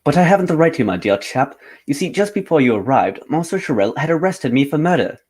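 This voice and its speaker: An actor playing a cool, composed gentleman detective, formal and unruffled, in old-time radio drama style. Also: on the high side, very animated, fast, slightly rough. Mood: wry resignation.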